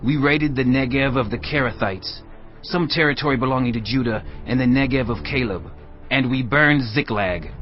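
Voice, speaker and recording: A man's voice: mid-range voice, normal speed, natural voice. A man playing an abandoned Egyptian slave, wary and pleading, in an audio Bible drama. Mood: weary, confessing. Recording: dull audio with music behind.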